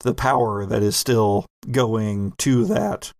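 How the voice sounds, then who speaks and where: A man's voice: fairly low pitch, slow pace, darkish tone, dry recording, clean studio sound. A man narrating a tabletop roleplaying game as game master, improvising in a conversational way and addressing the players directly.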